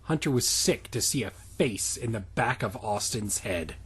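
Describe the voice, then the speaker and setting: A man's voice: fairly low pitch, animated, a little slow, fairly bright tone, breathy edge. A man hosting a casual comic fanfiction reading, mixing narration with mocking, sarcastic asides.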